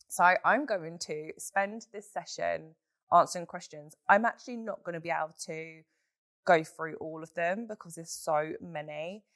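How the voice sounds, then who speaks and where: Hoarse female podcast host, frank and animated, low-ish voice, dry room. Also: no echo. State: hoarse from a chest infection. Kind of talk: solo podcast Q&A, casual chat.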